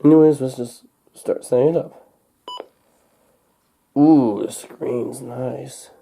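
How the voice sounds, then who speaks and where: Young man with a very dark, muffled tone. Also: mid-range voice, fairly even intonation, creaky, breathy edge. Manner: halting, unscripted, thinking aloud. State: recovering from a cold. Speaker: hobbyist vlogger. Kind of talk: casual unboxing and setup narration. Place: indoors at home.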